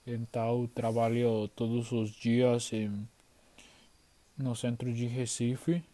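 A performer voicing a 27-year-old engineer from Recife who speaks in a low, subdued way and keeps repeating phrases. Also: flat delivery, takes it slowly, dark tone, close to an everyday voice. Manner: low, subdued, apologetic, repetitive.

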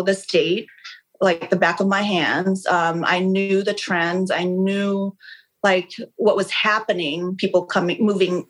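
A woman whose first language is Khmer, a guest in a casual interview, talking frankly and animatedly, often restarting her sentences. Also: medium pitch. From Long Beach, California. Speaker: interview guest, real estate agent. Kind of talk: casual candid conversation.

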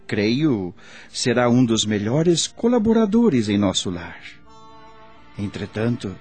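An actor playing a gentle spirit healer in a Brazilian radio drama, speaking in a solemn, measured, preaching manner with a Brazilian accent. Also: male voice, mid-range voice, slightly rough. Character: gentle male spirit healer counselling a patient.

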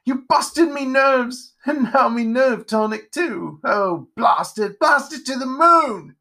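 Storybook pumpkinhead: high, wailing, nervous wreck, melodramatic and self-pitying. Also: expressive, slow, pushed and bright, heavily acted. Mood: distraught. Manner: wailing, moaning, melodramatic outbursts. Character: trembling, whiny, self-pitying pumpkinhead creature prone to fits.

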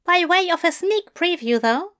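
Woman doing a fussy gentleman angel, high lilting voice, very lively, earnest. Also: normal speed. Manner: bright, fluttery, earnest.

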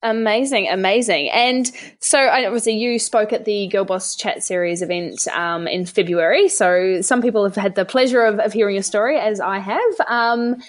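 A woman hosts an interview. Her voice has a middling pitch. She puts her questions in a casual, conversational style. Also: moderate pace, few pauses. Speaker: interviewer, host.

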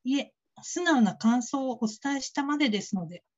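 Candid, formal female colleague actress, radio drama, high register, slightly dull recording. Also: expressive, normal speed. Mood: cool, sincere. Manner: formal, matter-of-fact. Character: strict, candid, businesslike female call-centre colleague.

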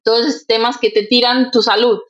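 A woman's voice: on the high side, level tone, brisk, dull audio. A woman with a Brazilian accent and Portuguese as her first language, answering interview questions conversationally, thinking aloud with frequent tag questions. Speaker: interview guest, nonprofit executive director.

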